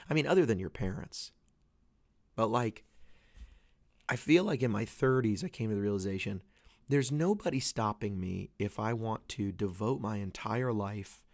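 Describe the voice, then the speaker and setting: Podcast host, man, 30s: hoarse from losing his voice, low gravelly tone, loose and earnest. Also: a little mellow, no room sound. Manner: rambling, earnest, many restarts. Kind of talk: casual solo podcast talk. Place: away from home, dry small space.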